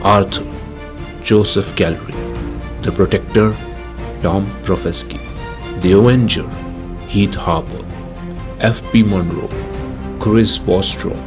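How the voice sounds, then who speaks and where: A man hosting a podcast, reading scripted outro credits and appeals to listeners. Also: low voice. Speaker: podcast host. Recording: clear music bed behind voice.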